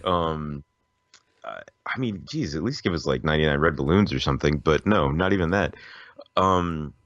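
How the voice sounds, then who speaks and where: Very deep-voiced American man chatting. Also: expressive, normal speed, fairly bright tone, no room sound, dull audio. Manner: rambling, informal storytelling. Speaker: podcast host. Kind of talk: casual podcast chat.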